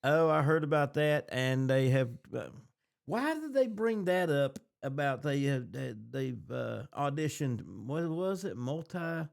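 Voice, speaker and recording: Animated man podcasting, halting and opinionated. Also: on the high side, creaky. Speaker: podcast co-host. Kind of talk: casual fan discussion.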